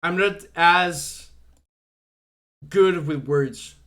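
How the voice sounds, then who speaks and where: A young man with a notably high voice, chatting on a live stream in English, which is not his first language because he grew up speaking French. He rambles and restarts his sentences often, filling gaps with "like" as he thinks out loud. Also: drawn-out words. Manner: rambling, heavy on fillers and restarts. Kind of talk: casual live-stream chat.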